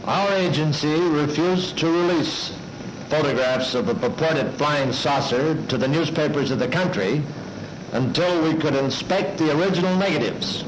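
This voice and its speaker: A man reading a measured, official statement, recorded on an old 1950s newsreel soundtrack. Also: fairly high pitch. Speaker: news photo executive. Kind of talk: formal prepared statement. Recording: echoey, faintly noisy 1950s film soundtrack.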